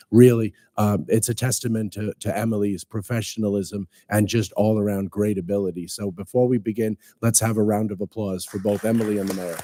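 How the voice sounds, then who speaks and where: A man speaks at a veterans breakfast, his voice worn hoarse by a cold. He delivers formal, earnest remarks with touches of light humor. His tone is mellow and dark, and the sound is dry, with little room around it. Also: lowish voice, no room sound. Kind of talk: formal public speech. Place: city council chamber.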